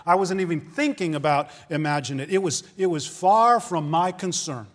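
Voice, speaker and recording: A man's voice: on the high side, normal speed, long reverb tail. A man in his fifties preaching a sermon in an earnest, conversational way, often asking his listeners rhetorical questions. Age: 50s. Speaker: pastor.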